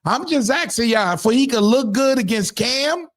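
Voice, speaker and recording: A man's voice: extremely high pitch, animated, moderate pace, fairly bright tone. A man from Harlem with a New York accent, hosting his own commentary channel and talking casually to the camera, emphatic and repeating rhetorical questions to his viewers.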